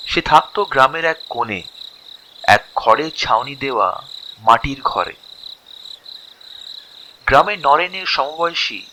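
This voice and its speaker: A male storyteller with a bright, clear-edged voice reads a scripted tale slowly. He breaks it into short, expressive phrases with dramatic pauses, over faint birdsong and cricket ambience. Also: medium pitch, a little hiss or hum, poor audio. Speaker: storyteller, narrator.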